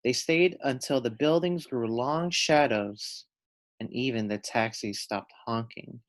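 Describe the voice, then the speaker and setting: A man reading a children's picture book aloud with expressive, teacherly delivery and deliberate phrasing. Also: medium pitch. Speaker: read-aloud storyteller.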